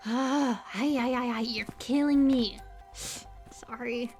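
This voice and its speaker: Woman acting a bubbly, high-voiced quirky chatterbox. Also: steady tone, slow, darkish tone, soft background music. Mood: playful, teasing. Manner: high-energy, rambling, playful, peppered with likes and ums. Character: short, bubbly, quirky young woman, chatty small-town local. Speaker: audio roleplay voice actor. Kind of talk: casual, conversational audio roleplay acting.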